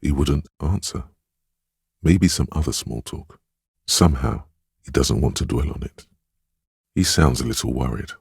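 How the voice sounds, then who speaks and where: An extremely deep, heavily husky male voice narrates as the player's sense of empathy in a video game. It is gentle, perceptive and sorrowful, with measured, solemn phrasing. The sound is dry and intimate. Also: lively intonation, no room sound, heavily acted. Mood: melancholy. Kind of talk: scripted second-person game narration.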